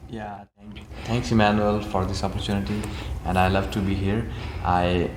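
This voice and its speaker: A young man from India, a student guest, answering interview questions in a halting way and often repeating words and saying "like". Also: on the low side, little pitch movement, a little hiss or hum. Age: young adult. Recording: echoey room with faint ambience.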